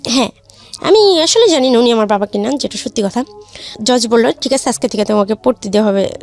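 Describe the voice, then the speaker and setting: Bangladeshi woman telling her life story on radio, high creaky voice, plain everyday talk. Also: expressive, brisk, darkish tone. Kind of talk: personal life-story narration in a radio interview.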